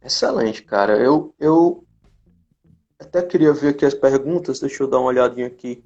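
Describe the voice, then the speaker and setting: A man with a Brazilian accent talking casually on a live stream, explaining things haltingly and repeating his words. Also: mid-range voice, a little mellow. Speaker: company representative co-hosting a live stream. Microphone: live video call. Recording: dull lo-fi live-stream audio.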